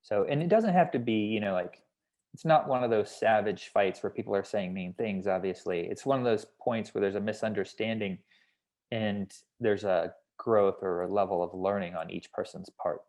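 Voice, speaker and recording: Low-voiced man giving script feedback. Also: moderate pace, darkish tone. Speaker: script consultant giving notes. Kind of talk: feedback discussion at a table read.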